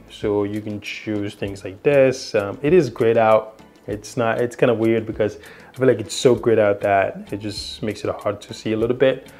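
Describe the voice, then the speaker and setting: A man's voice: on the low side, a little mellow. A young man, not a native English speaker, casually walking through a device's features in a small indoor room, rambling with many fillers and restarts. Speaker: tech reviewer. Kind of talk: casual product demo.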